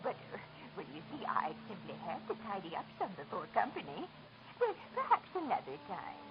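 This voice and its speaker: Grandmotherly widow, genteel and fluttery, wildly varied pitch, old radio drama, muffled sound. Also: huge pitch swings, a little fast. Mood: politely fluttery. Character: sweet, evasive old widow, grandmotherly houseboat owner. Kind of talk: old-time radio detective drama acting. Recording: muffled old radio recording, faint noise.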